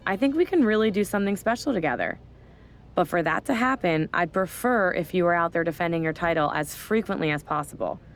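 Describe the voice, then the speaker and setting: A woman's voice: on the low side, slight background noise, lightly acted. A woman playing a smug scheming wrestling boss. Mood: upbeat. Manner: condescending, sarcastic. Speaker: wrestling general manager. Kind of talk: video game cutscene dialogue.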